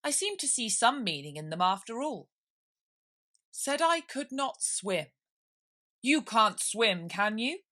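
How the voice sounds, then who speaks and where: A woman's voice: a little high, sing-song, slow, pauses often, bright, forceful tone, voice pushed up, full character voice. Woman as pompous, blustering storybook king. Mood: musing, self-satisfied. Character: pompous, muddled male storybook king presiding as judge.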